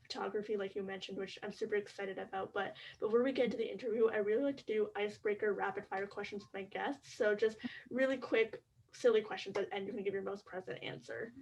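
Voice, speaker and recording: A woman's voice: mid-range voice, fairly even intonation, fast talker, a little mellow, slight background noise. A young adult woman hosting an interview show in an upbeat, friendly way, saying "like" often. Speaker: podcast host. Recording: distant from the mic.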